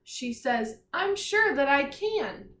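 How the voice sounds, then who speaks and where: A woman teacher giving a read-aloud vocabulary lesson to young children, enunciating deliberately, repeating phrases and putting questions to her listeners. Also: high-pitched, big pitch swings, fairly bright tone. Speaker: primary school teacher. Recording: echoey room.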